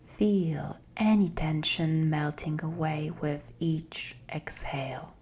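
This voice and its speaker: A woman guiding a meditation in a soft, breathy, half-whispered voice, gentle and soothing with long pauses. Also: sits low, fairly even intonation, a little hiss or hum. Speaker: meditation guide. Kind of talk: guided meditation, slow scripted narration.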